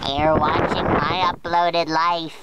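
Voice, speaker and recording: A young man putting on a squeaky, giddy helium voice for a playful vlog. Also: fairly even intonation, unhurried, close to an everyday voice. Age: young adult. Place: outdoors behind a building. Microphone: handheld camera near arm's length. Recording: noisy windy outdoor camera mic.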